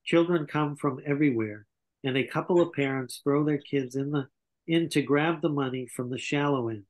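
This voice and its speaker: A man's voice: on the high side, normal speed, low-quality recording. A man in his sixties reading scripted stage directions as narrator at an online table read. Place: small home room, indoors, on a video call. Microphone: computer video call.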